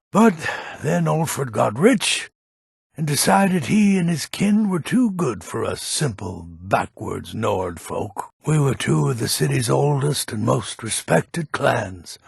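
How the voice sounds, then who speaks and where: Casting: grumpy, proud old Nord townsman for a fantasy game; very raspy, heavy gravel, blunt and folksy. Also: mid-range voice, dry recording, studio quality, exaggerated voice. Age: older. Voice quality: gravelly, husky. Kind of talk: fantasy video game character acting.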